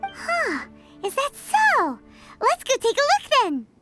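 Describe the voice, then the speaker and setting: A tiny, nosy fairy girl for a fantasy game. The voice is extremely high and squeaky, swinging wildly up and down in a perky, exclamatory way. Music plays behind. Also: huge pitch swings, slow pace, exaggerated voice. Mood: curious, eager. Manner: squeaky, exclamatory, cutesy third-person talk. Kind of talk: English game voice acting.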